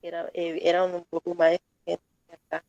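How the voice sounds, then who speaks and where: A woman student, describing her interview work in a halting, unsure way. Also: lowish voice, completely flat delivery, darkish tone, no room sound. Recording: dull, muffled audio.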